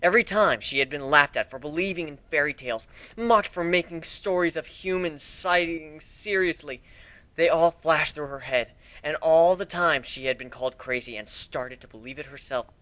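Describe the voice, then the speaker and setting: A man's voice: on the high side, moderate pace, bright, forceful tone, no echo. A man giving an amateur dramatic reading as the narrator of a fan fiction story.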